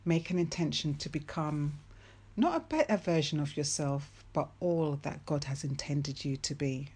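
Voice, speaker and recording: A woman's voice: sits low, animated, moderate pace, darkish tone, husky. A woman giving a faith-based motivational talk, speaking earnestly and emphatically and repeating key phrases for weight.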